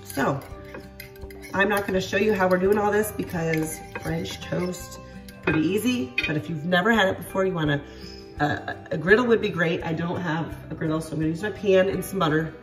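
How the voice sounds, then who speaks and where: A congested woman in her thirties chats her way through a home-cooked dinner, with lots of fillers and little apologies. Her voice sits a bit low, with soft music playing underneath. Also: a little fast, far from the mic. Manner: chatty, self-deprecating, lots of fillers. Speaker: home cooking vlogger. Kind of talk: casual chatty cooking narration. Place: home kitchen and outdoor patio.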